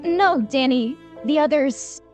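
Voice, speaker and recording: A woman playing a gentle, anxious young princess in a fantasy audio drama, speaking in a halting, heartfelt way. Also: sits high, expressive, faint music behind. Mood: sorrowful, hesitant.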